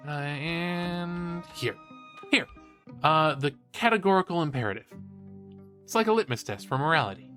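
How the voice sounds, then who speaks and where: A man's voice: fairly high pitch, normal speed, faint music behind. A man playing a cheeky, enthusiastic college student who hosts a podcast, chatting playfully in an audio drama. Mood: eager, explanatory. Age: young adult. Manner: playful, casual, animated storytelling. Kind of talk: bantering, conversational podcast-style audio drama.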